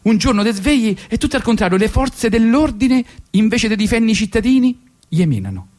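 Roman man with a higher-pitched voice, rambling ironically. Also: sing-song, brisk, a little mellow. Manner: rambling, colloquial, repetitive, ironic. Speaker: radio show host and monologist. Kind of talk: ironic comic monologue and casual radio chat.

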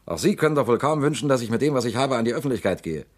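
A man's voice: medium pitch, animated, very fast, lightly acted. An actor playing a scheming politician who presses his case with insinuation and veiled threats in a radio play. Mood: threatening. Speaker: politician confronting a company director. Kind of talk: radio drama acting.

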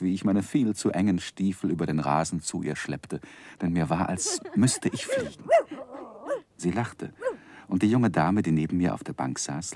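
An actor playing a gentleman who tenderly recalls his infatuated youth, narrating a 1960s German radio drama in a wistful, literary manner. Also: low voice, slight background noise. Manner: wistful, ornate literary phrasing.

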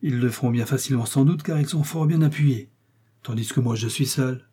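A man voices a brave young swordsman in a storybook reading, respectful yet proud and earnest. The tone is dark and mellow with a slight husk. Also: mid-range voice, fairly quick, husky, pitched above the performer's own voice, natural voice. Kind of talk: audiobook character reading.

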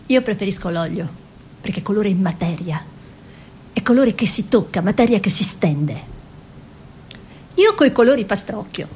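Actress as artist's model and painter of peasant roots: mid-pitched, incantatory, sensual, breathy near-whispers, radio drama, faintly noisy sound. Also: female voice, very animated, normal speed, pauses often, darkish tone, close to an everyday voice. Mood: dreamy, proud. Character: peasant-born woman painter and model, proud, dreamy, sensual. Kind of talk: theatrical radio drama monologue and dialogue.

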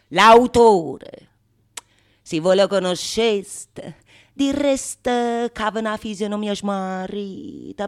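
A woman's voice: medium pitch, smooth, natural voice. A woman playing a harried, indignant stage player who delivers a comic prologue in emphatic radio-theatre style. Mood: mocking. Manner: loud, indignant, emphatic declamation.

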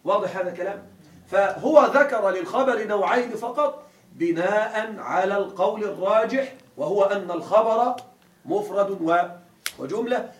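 A man with a high voice teaches a grammar lesson, heard from a distance in a reverberant classroom. He explains patiently step by step and checks understanding with questions. A faint hiss sits under the recording. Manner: explains step by step, quizzes students with rhetorical questions.

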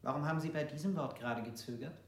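Brusque quick interrogator, played by a man, fairly high, in a roomy space. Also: natural voice. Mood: curt. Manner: curt, quick demands.

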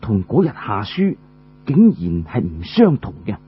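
A man's voice: lowish voice, huge pitch swings, unhurried, dull audio, a little hiss or hum, pitched below the performer's own voice. A man voicing an earnest young male warrior who muses to himself in an audiobook tale. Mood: admiring, puzzled.

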